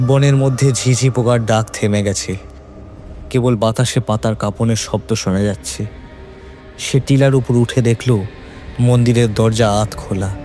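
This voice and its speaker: A man's voice: mid-range voice, mellow. A man narrating a scripted horror story in a dramatic, suspenseful manner.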